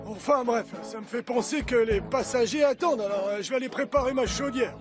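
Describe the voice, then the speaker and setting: Un acteur qui joue un conducteur de train à vapeur jovial, bavard et enthousiaste dans un dessin animé pour enfants. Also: male voice, very high-pitched, brisk, music in the background. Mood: cheerful, eager. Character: cheerful, chatty, eager male steam-train driver. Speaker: train driver. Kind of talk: animated children's cartoon dub.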